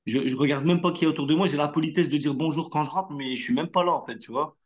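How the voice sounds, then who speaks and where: Chatty higher-voiced man telling casting stories. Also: fast. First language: Arabic. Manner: animated anecdote-telling with street slang and many fillers. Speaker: actor, interview guest. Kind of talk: casual interview storytelling.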